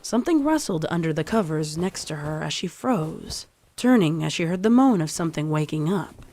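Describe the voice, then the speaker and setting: A woman's voice: sits low, animated, normal speed, darkish tone. A woman reading scripted horror fiction as a dramatic story narrator. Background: music under the voice.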